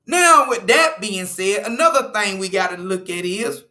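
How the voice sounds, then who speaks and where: A man's voice: high-pitched, lively intonation, moderate pace, sharp tone. A man from the United States, a political commentator walking through an article, emphatic and repetitive, stressing numbers and restarting phrases.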